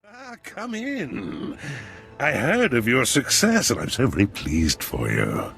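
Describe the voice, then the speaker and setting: An actor playing a fawning, cowardly noble schemer in a video game, with a husky voice and theatrical, ingratiating delivery. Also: male voice, medium pitch, wildly swooping pitch, takes it slowly, a bit bright, heavily acted. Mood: smug, ingratiating. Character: oily, cowardly male noble schemer, fawning and self-serving. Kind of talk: cinematic game voice acting.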